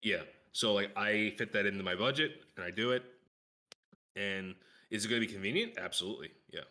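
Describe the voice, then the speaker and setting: A man's voice: lowish voice, fairly quick, bright, forceful tone. A man chatting casually on a gaming podcast, offering his opinions in a matter-of-fact way. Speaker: podcast guest or co-host.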